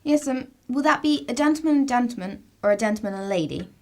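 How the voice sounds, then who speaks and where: Actress as a deferential household maid. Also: female voice, on the high side, lively intonation, fast, darkish tone. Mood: eager to please. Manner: deferential, plain-spoken. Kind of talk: radio play acting.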